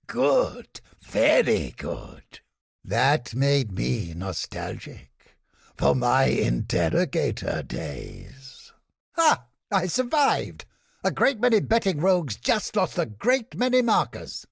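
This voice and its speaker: A cynical male inquisitor scholar for a video game, smug and theatrical. His voice is heavily creaky and husky, recorded close and dry. He speaks in formal, old-fashioned phrases with sardonic relish. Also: mid-range voice, wildly swooping pitch, no room sound, heavily acted. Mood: relishing, nostalgic, then elated, relieved. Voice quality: gravelly, husky, breathy edge. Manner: theatrical, deliberate, sardonic, formal archaic diction.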